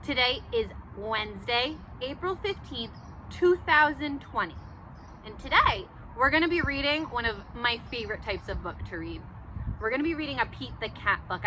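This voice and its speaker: A woman teacher leads a read-aloud lesson for young children, high-voiced and very animated. She is encouraging and speaks slowly, with noticeable noise in the background. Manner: encouraging, asks questions and answers them. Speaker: schoolteacher.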